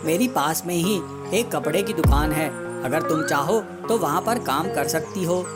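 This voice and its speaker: A woman voicing a kindly older male shopkeeper in a cartoon, speaking gently and helpfully. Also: low-pitched, a little slow, over loud music.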